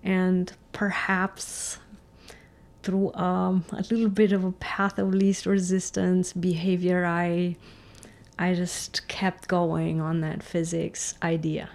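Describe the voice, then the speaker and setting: A middle-aged woman from Romania answers interview questions about her path into physics. Her voice sits fairly low and carries a gravelly, creaky edge. She speaks in a thoughtful, measured way, often restarting her sentences. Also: little pitch movement, a little mellow. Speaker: physicist guest.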